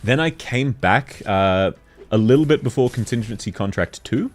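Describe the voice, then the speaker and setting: A young man with a deep voice streaming a game, chatting casually with viewers, reading their messages aloud and laughing often, a little stuffy from hay fever. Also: expressive, moderate pace, faint music behind. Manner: giggly, reads chat aloud and answers it, frequent laughs. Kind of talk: casual game livestream chat.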